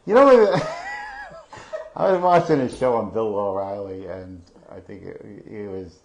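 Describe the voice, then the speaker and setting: A man's voice: on the low side, lively intonation, unhurried, dull audio. A middle-aged man co-hosting a casual real estate stats chat, rambling with many fillers and restarts and laughing often. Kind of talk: casual live stat chat.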